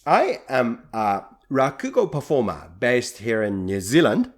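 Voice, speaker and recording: Japanese-accented man with lively, swinging pitch. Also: unhurried. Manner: earnest, reflective, lively pitch, gentle self-deprecating humour. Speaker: podcast host and rakugo performer. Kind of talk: solo podcast monologue.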